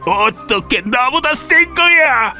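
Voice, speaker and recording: A man's voice: high-pitched, wildly swooping pitch, normal speed, bright, forceful tone, faint music behind, heavily acted. A man voicing an arrogant, greedy thunder god in a children's cartoon, taunting and demanding. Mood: indignant.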